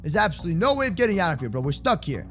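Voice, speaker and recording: A young adult man improvising a children's block-game roleplay with excitable, exaggerated, slangy banter. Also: high-pitched, very fast, soft background music. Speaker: host of kids' gaming roleplay channel.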